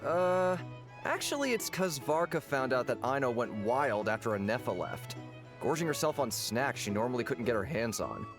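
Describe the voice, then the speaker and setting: Very animated, affable tavern owner. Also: male voice, medium pitch, moderate pace. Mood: sheepish, explaining. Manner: jovial, playful, expressive. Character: affable, theatrical male tavern owner, easygoing. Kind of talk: video game voice acting. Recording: music behind the voice.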